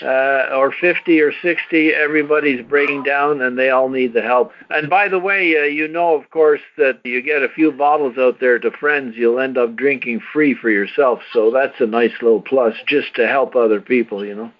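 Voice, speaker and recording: Male call host, slightly low-fidelity line, talkative promotional pitch with emphatic repetition. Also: normal speed, few pauses. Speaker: host of a success-story conference call. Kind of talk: promotional testimonial call talk. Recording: dull lo-fi conference call line.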